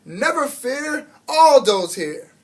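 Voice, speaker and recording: A man puts on an exuberant, big-hearted janitor from a children's storybook. He is booming and folksy, chatting away like an old pal to a small friend. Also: very animated, takes it slowly, full character voice. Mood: jolly, booming. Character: Aldo, big-hearted, jolly chatty male school janitor.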